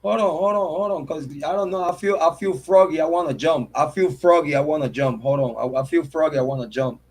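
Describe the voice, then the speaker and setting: A man with a fairly high voice hosting a true crime stream and tearing into a rival. He curses freely and repeats his lines for punch. Between jabs he stops to thank his viewers by name. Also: fairly quick, darkish tone, breathy edge. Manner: heated, profane and repetitive, calling out a rival. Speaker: true crime channel host. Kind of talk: livestream commentary rant.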